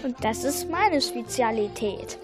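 Woman playing a bossy high-voiced computer bookworm. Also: lively intonation, measured pace, over music. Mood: self-important. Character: bossy, all-knowing bookworm from a computer program. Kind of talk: children's radio play.

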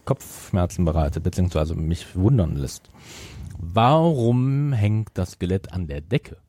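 A man's voice: low voice, expressive, a little slow, mellow, dry recording. A man co-hosting a podcast in casual conversation with the others. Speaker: podcast co-host. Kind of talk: casual chat.

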